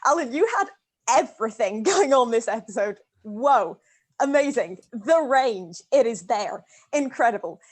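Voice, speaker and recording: A woman talking casually and effusively, gushing with emphasis as she gives feedback to a group. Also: sits high. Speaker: cast member giving feedback.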